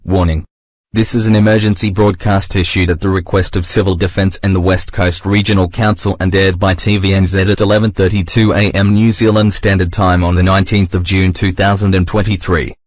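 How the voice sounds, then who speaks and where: A man voicing a formal, stern emergency broadcast announcer, reading a scripted alert in a measured, impersonal manner. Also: deep voice, level tone, no room sound, natural voice. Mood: formal, grave.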